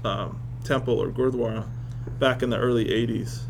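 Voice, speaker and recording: Halting joking man in a classroom. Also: mid-range voice, fairly even intonation, slight background noise. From Boston area, United States. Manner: joking, self-deprecating, halting restarts. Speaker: professor being interviewed. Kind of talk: casual interview in a classroom. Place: classroom with students.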